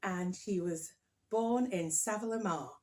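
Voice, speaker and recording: A woman's voice: on the low side, measured pace, a little mellow. A woman from England hosting a podcast, bubbly and encouraging, prompting her guest with tag questions. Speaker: podcast host. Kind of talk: casual family interview.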